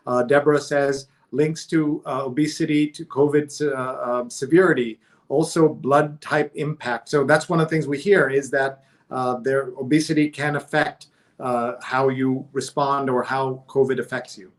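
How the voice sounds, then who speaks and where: Halting male host, very even pitch. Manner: halting, hesitant phrasing with repeated words. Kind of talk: live stream interview hosting.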